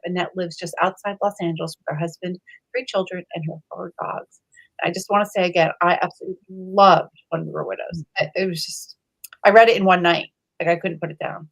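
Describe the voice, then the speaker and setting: A woman's voice: lowish voice, rough recording. A woman speaking casually as an author and co-host of a livestream book club, over a video call.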